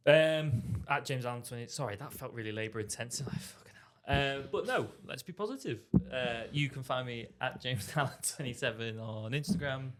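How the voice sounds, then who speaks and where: A man guests on a podcast at a middle pitch and talks with easygoing hesitation. He fills his pauses with ums and uhs as he gathers his thoughts. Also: animated, heavy background noise. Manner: easygoing, halting, with fillers. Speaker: comedian guest, football podcaster. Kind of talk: casual podcast chat.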